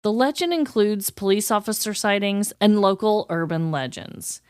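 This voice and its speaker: Clear-voiced woman podcaster, dry close sound. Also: medium pitch, animated, moderate pace, a little mellow, no room sound. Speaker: podcast co-host. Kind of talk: casual podcast chat reading prepared notes.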